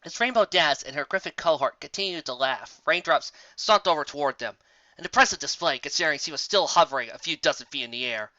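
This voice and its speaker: A man reading a story aloud as narrator, in an expressive scripted style. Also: on the high side, fast talker, no room sound, lo-fi sound. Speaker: fan fiction narrator.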